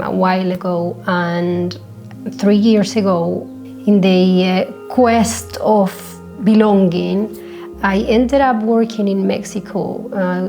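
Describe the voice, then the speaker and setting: A woman with a Spanish accent speaks over music in a narrow, even pitch range. She is a native Spanish speaker, delivering a personal podcast monologue. Her manner is reflective and earnest. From born in Colombia, grew up in Spain. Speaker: podcast host.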